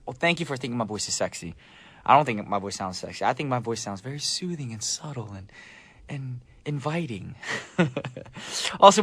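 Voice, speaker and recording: A man's voice: medium pitch, a bit bright, no room sound. A man hosting a live radio show in a chatty, casual style with playful asides, close to a studio microphone.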